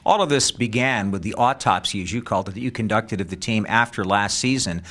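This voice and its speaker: A man's voice: on the low side, fairly quick, fairly bright tone. A man with a polished announcer's delivery, conducting a sports interview as a radio broadcaster.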